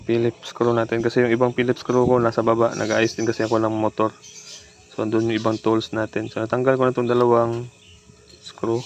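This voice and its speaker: A man's voice: medium pitch, creaky. A man with a Filipino accent casually talks through a hands-on repair tutorial, informal and instructional as he works. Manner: informal, instructional, talking while working. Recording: steady background noise with insect-like chirping.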